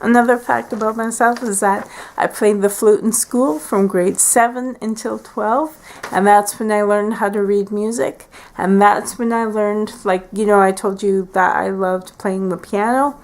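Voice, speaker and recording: Slow, gravelly-voiced woman in her forties. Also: mid-range voice, fairly even intonation. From Canada. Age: 40s. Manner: hesitant, many fillers and restarts. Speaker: beauty vlogger. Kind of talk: casual tag video chat. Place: at home by a window.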